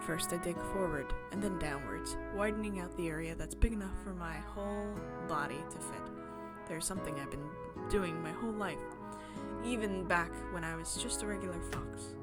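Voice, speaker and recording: A woman streaming a visual novel, reading the narration aloud in a casual way with her own commentary. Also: fairly low pitch. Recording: game music behind the voice. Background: guitar game music.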